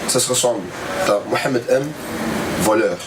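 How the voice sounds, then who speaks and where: A man speaking casually in an interview, animated and repeating words as he makes his point. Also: medium pitch, moderate pace, recorded from a distance, heavy background noise.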